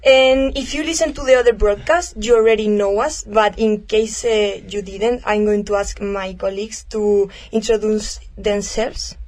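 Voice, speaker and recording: A woman's voice: mid-range voice, steady tone, normal speed, few pauses, a little mellow. A young woman hosting a casual volunteer radio show, friendly, and hesitant with fillers when giving her own opinion. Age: young adult.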